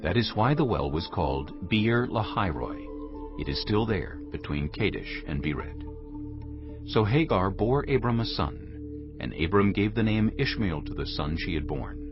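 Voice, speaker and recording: A very low-voiced man narrates scripture with animated intonation. His storytelling is measured and solemn. The sound is slightly dull. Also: music in the background.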